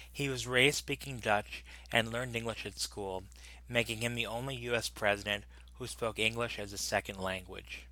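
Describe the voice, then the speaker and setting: A man reads an encyclopedia article aloud at a steady, unhurried clip, sometimes tripping over a word and going back to fix it. His lowish voice has a bright, cutting edge. The recording is close and dry, with almost no room sound. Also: dry recording, low-quality recording. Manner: steady reading with occasional stumbles and self-corrections. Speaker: volunteer reader of an encyclopedia article. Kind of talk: plain read-aloud narration.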